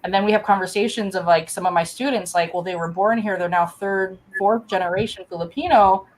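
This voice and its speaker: A woman educator speaking on a panel over a video call, telling stories in an earnest, animated way. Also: mid-range voice, brisk, poor audio.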